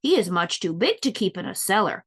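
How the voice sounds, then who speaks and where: A storybook policeman, read by a woman, helpful and matter-of-fact. The delivery is plain-spoken and authoritative, pitched for a children's tale. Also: big pitch swings, a little fast, slightly rough. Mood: impressed, decisive.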